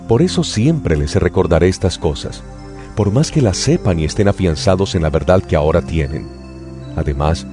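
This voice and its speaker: A man giving a dramatized Bible reading in a slow, solemn and expressive way. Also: low-pitched. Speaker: scripture reader. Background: music.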